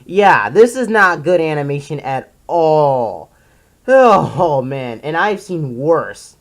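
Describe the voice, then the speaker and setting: A person giving casual, opinionated commentary as a video reviewer, in an exasperated, emphatic ranting manner with drawn-out stressed words. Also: mid-range voice. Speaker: video reviewer presenting a countdown list.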